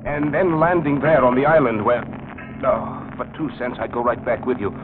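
An actor playing a reluctant middle-aged former attorney in a 1940s radio mystery, uneasy, halting and grumbling. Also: male voice, medium pitch, fairly even intonation, music in the background, lightly acted. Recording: old 1940s radio broadcast, tinny, noisy.

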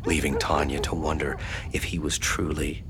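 An actor narrating a horror audio drama in a measured, grave and ominous manner. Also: male voice, extremely low pitch, flat delivery, very noisy. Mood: ominous, hushed. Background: music.

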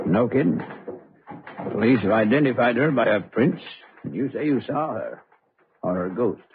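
An actor playing a gossipy, wry informant with an offhand, slangy manner in an old-time radio crime drama. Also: male voice, mid-range voice, expressive, moderate pace, breathy edge. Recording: tinny, narrow old radio recording.

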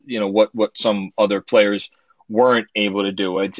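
A middle-aged man hosting a live call-in sports talk show. He speaks quickly and rattles off statistics while staying friendly with callers. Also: fairly low pitch, fairly bright tone, dry recording. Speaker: host.

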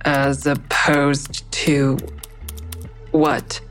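A woman's voice: sits low, flat delivery, very slow, faint music behind. A woman playing a wary, dry-witted investigator who narrates her own story in an audio drama, guarded and understated. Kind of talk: first-person audio drama narration and dialogue.

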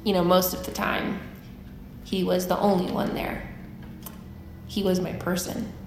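A woman's voice: on the low side, little pitch movement, unhurried, roomy sound, a little hiss or hum. A woman playing a blunt, grieving young army private in an audio drama, with an American accent and an argumentative, fiercely insistent way of talking. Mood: grieving, halting. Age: young adult.

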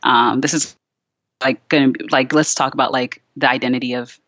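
A young woman from Virginia chatting candidly as a podcast guest, peppering her talk with "like" and quick restarts. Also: very deep voice, steady tone, fairly bright tone, no room sound. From Richmond, Virginia, USA. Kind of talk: casual interview conversation. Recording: slightly dull, lo-fi sound.

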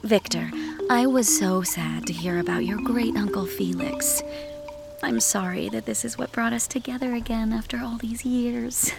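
A woman's voice: mid-range voice, fairly even intonation, moderate pace, over music, close to an everyday voice. A woman voicing a sugary, insincere suburban socialite in an audiobook. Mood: hushed condolence. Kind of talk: audiobook character dialogue.

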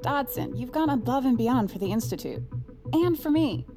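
A woman's voice: high-pitched, lively intonation, moderate pace, music in the background. A woman playing a chummy superior agent in an audio drama, talking in a casual, wry, coaxing way. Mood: coaxing, warm. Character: breezy, chummy, sly superior female agent. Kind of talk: scripted audio drama acting.

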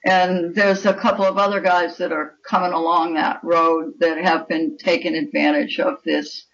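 A woman's voice: on the low side, little pitch movement, normal speed. A woman speaking as a guest author in an interview, talking about writing fiction in an opinionated, emphatic way. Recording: dull, lo-fi call audio.

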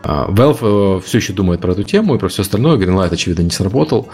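Fast-talking deep man with a gravelly voice. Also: animated, darkish tone, faint music behind. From Ukraine. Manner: rapid explanatory talk, long run-on points. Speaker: podcast co-host. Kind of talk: casual podcast discussion.

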